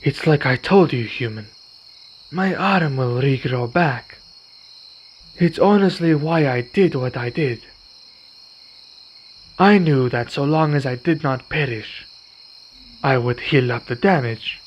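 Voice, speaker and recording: A man playing a weary, courteous vampire in an ASMR roleplay, speaking in formal, measured phrases. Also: mid-range voice, lightly acted. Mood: solemn recounting. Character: weary, courteous male vampire, wounded but calm. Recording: dull recording with night insect noise. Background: crickets and night insects.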